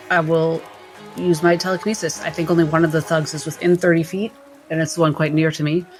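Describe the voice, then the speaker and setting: Woman player in a tabletop rpg, voice a bit low, talking thru her turn, light music. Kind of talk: narrating own character's moves in game.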